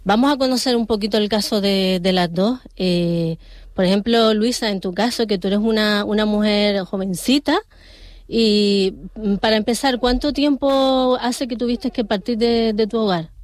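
A woman hosting a radio interview. She speaks gently and reassuringly, with many pauses and restarts. The studio sound is close and dry. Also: mid-range voice, dry recording. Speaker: radio host.

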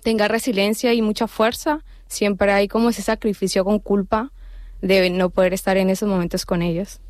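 A nervous woman in her thirties with a Cali, Colombian accent, speaking as a radio guest in an earnest, halting way. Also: mid-range voice, flat delivery, no room sound, studio quality. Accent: Colombian Spanish, Cali.

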